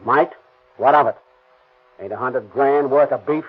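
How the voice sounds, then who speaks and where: A man's voice: mid-range voice, unhurried, husky. An actor playing a ruthless, bossy gangster in 1930s radio crime acting, talking American tough-guy slang. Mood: cool, scheming. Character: ruthless, cocky gangster planning and bossing a robbery. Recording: scratchy, noisy, narrow-band 1930s radio.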